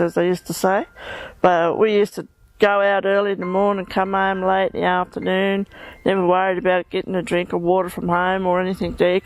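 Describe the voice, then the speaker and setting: A woman's voice: on the low side, dry recording, rough recording. An older woman with an Australian accent, reminiscing in a folksy way about a childhood in the bush. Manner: flat, folksy storytelling. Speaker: interviewee, local resident. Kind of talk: casual reminiscing.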